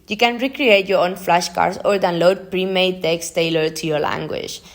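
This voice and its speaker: A young woman whose first language is Spanish hosts a solo podcast. Her voice sits a little low for a woman. She talks in a chatty, informal way, partly following notes and partly speaking off the cuff. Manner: chatty, informal, frequent use of "like". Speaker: podcast host.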